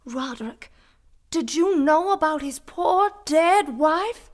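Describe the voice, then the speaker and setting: A woman's voice: high voice, lively intonation, slow. A woman voicing a prim, tactless young wife of a reporter in a comedic radio play, speaking in a breezy, prim manner. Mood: prim chatter.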